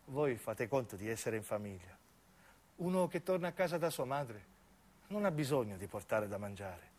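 A man's voice: mid-range voice, big pitch swings, moderate pace, darkish tone, pitched below the performer's own voice. A man plays an old southern Italian woman in radio theatre. She is vain and nostalgic, with a motherly streak. She tells her stories in a folksy, boastful way. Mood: motherly, insistent.

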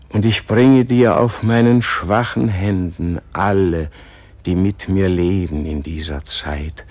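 An actor playing the humble, self-doubting soul of a martyred leader in a solemn radio play, speaking in a reverent, halting, prayerful way. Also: male voice, sits low, darkish tone, breathy edge, dry recording, heavily acted. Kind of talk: solemn classic German radio play acting.

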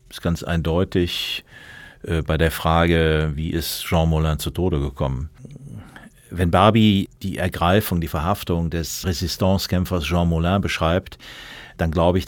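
A man giving considered, analytical commentary as an expert in an interview, pausing as he weighs his words. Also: low-pitched, dry recording.

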